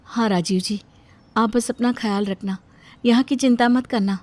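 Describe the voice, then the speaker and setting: A woman playing a gentle, earnest and polite young wife in a cartoon story. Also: on the high side, fairly even intonation, normal speed, close to an everyday voice. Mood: caring. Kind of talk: Hindi cartoon story voice acting.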